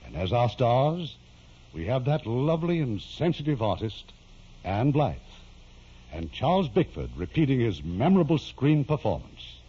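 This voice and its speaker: A man delivering formal, measured scripted narration as the host of an old radio drama. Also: medium pitch, very animated, warm tone, slightly rough. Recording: dull old radio broadcast with music.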